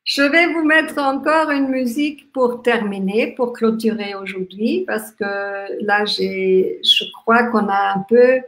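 Austrian woman in her fifties, German native, hosting a slow, gentle webcam talk, somewhat high voice, echoey room. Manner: gentle, reflective, halting with many restarts and fillers; talks with lively hand gestures. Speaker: workshop host. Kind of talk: live online talk on gratitude. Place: small room indoors.

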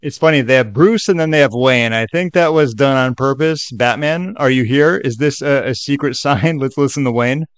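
A man's voice: mid-range voice, normal speed, hardly any pauses, smooth, no echo. Chatty man, dry close sound. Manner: chatty, joking asides. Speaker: tutorial video host. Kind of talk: casual screen-share walkthrough.

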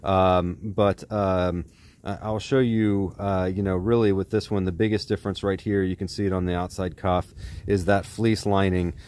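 A man's voice: low voice, level tone, moderate pace, darkish tone. A man in his forties with an American accent gives a casual, conversational gear review outdoors in a backyard.

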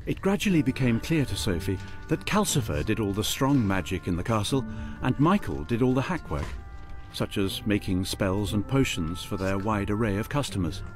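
Animated male storybook narrator. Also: on the low side, moderate pace, music in the background. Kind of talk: scripted storybook narration for radio drama.

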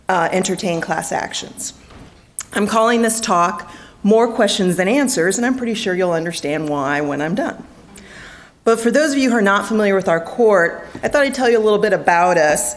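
A middle-aged woman judge giving a prepared keynote at a lectern microphone in a conference hall, with dry, self-deprecating humor. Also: on the low side.

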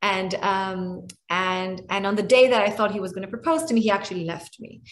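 A woman's voice: mid-range voice, steady tone. A woman in her forties with an Israeli accent and Hebrew as her first language, speaking earnestly on a video call from a small room at home, often restarting her sentences. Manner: earnest, warm, restarts often, slows on emotional points. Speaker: guest speaker and author. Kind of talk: heartfelt talk on a video call. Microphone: webcam on a video call.

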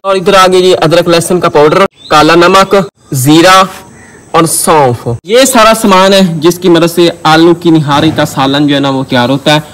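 A man's voice: sits high, fairly bright tone, low-quality recording. A man with a Pakistani accent, chattily explaining a recipe as a casual village cooking vlogger. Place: outdoors in village fields and a brick-walled courtyard. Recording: clear outdoor ambient noise.

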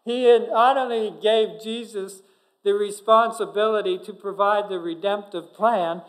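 A middle-aged male pastor preaching a sermon from a church pulpit, earnest, with sudden loud emphasis and frequent restarts. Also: high-pitched, steady tone, unhurried, rough recording. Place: church sanctuary behind a pulpit. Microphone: pulpit microphone, far camera.

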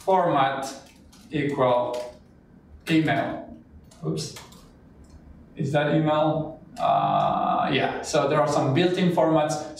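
A man's voice: fairly high pitch, heavy reverb, far from the mic. A man from Italy with an Italian accent, walking through a live coding demo and chatting as he thinks aloud while typing. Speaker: cloud developer presenter.

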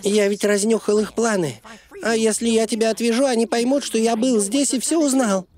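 A man's voice: high-pitched, steady tone, normal speed, a little mellow, close to an everyday voice. A man voicing a sly, scheming small animal spy in a cartoon dub. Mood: conspiratorial.

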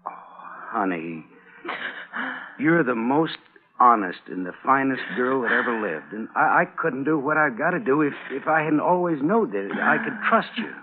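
An actor playing a devoted, stubborn homesteader husband, forthright and earnest, in an old-time radio western. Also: male voice, mid-range voice, expressive, normal speed, natural voice. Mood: tender, earnest. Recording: muffled, husky, narrow vintage radio recording.

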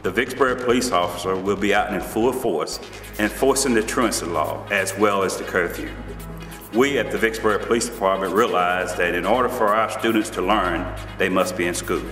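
A man's voice: deep voice, moderate pace, fairly bright tone. A man, a police chief, giving a formal public service announcement while standing with his officers. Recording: reverberant room, mic at a distance, music behind.

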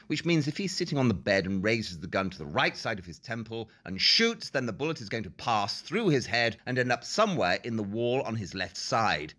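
A man's voice: mid-range voice, bright, forceful tone. A man playing an arrogant, brilliant detective in an audio drama, speaking precisely and haughtily.

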